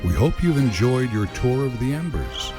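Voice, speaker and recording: A man's voice: mid-range voice, very animated, normal speed. A man reading scripted promotional narration for a tour video, with music behind him. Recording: music under the voice. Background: background music.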